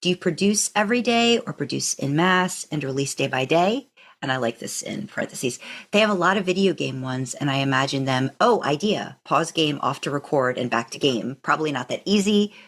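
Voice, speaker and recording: A woman's voice: sits low, lively intonation, fairly quick. A woman co-hosting a podcast, chatting casually and reading out listener questions. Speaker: podcast co-host.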